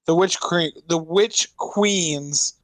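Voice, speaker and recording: Guy player in a tabletop game, bit higher voice, casual chat, super dry mic. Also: drawn-out words, a bit bright, no echo, poor audio.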